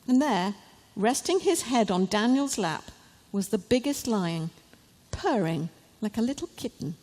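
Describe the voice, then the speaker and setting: Woman reading a children's story, reverberant hall. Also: fairly high pitch. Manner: deliberate, expressive, short dramatic phrases. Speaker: author reading her children's book. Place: large church, live event.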